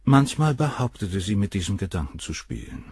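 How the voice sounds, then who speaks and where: An actor playing a haughty, self-assured gentleman who answers questioning in a condescending, matter-of-fact way in a radio crime drama. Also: fairly low pitch, very animated, brisk, slightly rough, dry recording. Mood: cool, assured.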